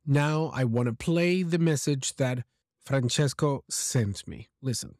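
A man hosting a podcast for English learners, speaking slowly and deliberately. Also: mid-range voice, darkish tone, no echo, clean studio sound. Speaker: podcast host.

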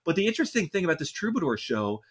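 A man's voice: fairly high pitch, big pitch swings, rapid-fire. A man co-hosting a podcast, telling a long story in a casual, rambling way and often correcting himself mid-sentence. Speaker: podcast co-host.

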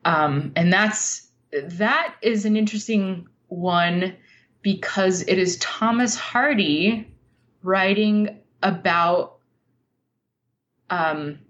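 An American woman with a slightly low voice talks slowly and thoughtfully as a podcast guest. She pauses to search for words in a reflective conversation. The recording is a little dull and lo-fi. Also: fairly bright tone, slight background noise. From Utah, United States. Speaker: podcast guest, nonfiction writer.

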